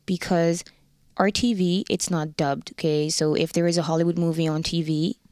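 A woman with a Dutch accent talks in an open, lively way as an interview guest. Her voice sits a little low, and the sound is dry and close. Her first language is Dutch. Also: normal speed, no room sound. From Netherlands. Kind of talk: casual interview chat.

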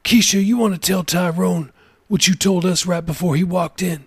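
Gravelly, husky older pastor in a storybook reading, a higher voice, gentle and measured, as a male narrator puts him on, dry close sound. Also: fairly even intonation, no room sound. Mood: counseling.

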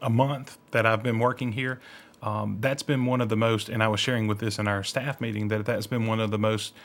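A man's voice: lowish voice, level tone, a little fast. A man co-hosting a religious radio discussion, speaking in a reflective and somewhat hesitant way. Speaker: radio co-host.

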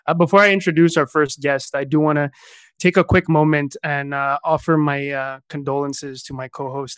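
A man's voice: mid-range voice, smooth, no room sound. A man co-hosting a casual sports podcast, talking with many hesitant filler sounds as he introduces a guest. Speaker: podcast co-host.

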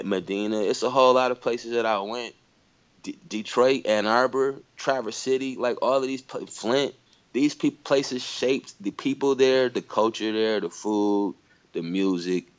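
A man's voice: on the low side, clear, resonant tone, dull audio. A man from Michigan in a casual conversation, thinking aloud with lots of "you know" and "like". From Michigan, USA. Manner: reflective, many fillers like "you know".

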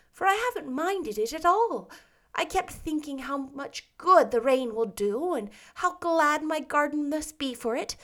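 A woman's voice: high-pitched, fairly bright tone, voice pushed up. A woman voicing a talkative, dramatic teenage girl in a storybook reading, with a fanciful, gushing way of speaking.

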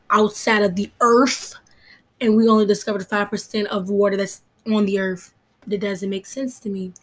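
Hoarse young woman hosting a podcast. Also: mid-range voice. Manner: chatty, rambling, off-topic asides. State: hoarse from allergies. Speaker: podcast host. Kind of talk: casual solo podcast talk.

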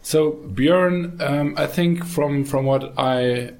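A man's voice: a little high, slow pace, a little mellow. A man hosting a podcast interview, talking loosely with many fillers. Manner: loose, filler-heavy, frequent ähm.

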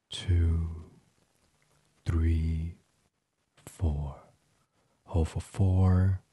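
A man leading a sleep meditation in an ASMR style, gentle and soothing, breathy and often half-whispered. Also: low voice, no room sound. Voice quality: breathy, hushed. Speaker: guided meditation host.

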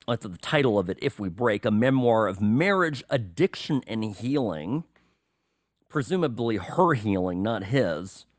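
A man's voice: on the low side, animated, a little slow, no room sound, studio quality. A man from the United States hosting a political talk radio show, delivering a sarcastic monologue with confiding asides.

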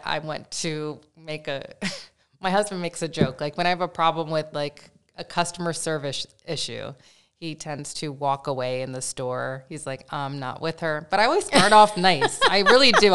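A middle-aged woman, a podcast guest, telling stories in a chatty, animated way. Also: deep voice, normal speed, clear, resonant tone. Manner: chatty, animated storytelling with laughs. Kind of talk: casual conversational storytelling.

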